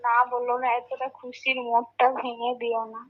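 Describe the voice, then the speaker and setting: A woman's voice: a little high, monotone, normal speed, dry recording. A young woman with an Indian Bengali accent, talking coyly and pleadingly in an intimate, casual phone chat. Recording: muffled, faintly noisy phone call.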